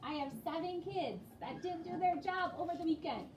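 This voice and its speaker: A high-voiced woman teaches a class of young children. She explains things instructively and keeps checking in with questions. The sound is roomy and distant, with clear background noise. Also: normal speed. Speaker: elementary school teacher. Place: indoor classroom.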